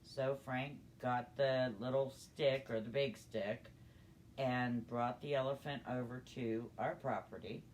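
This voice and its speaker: An older woman telling a personal story in a conversational, halting, unhurried way. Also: a bass voice, steady tone, darkish tone, slight background noise. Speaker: storyteller grandmother.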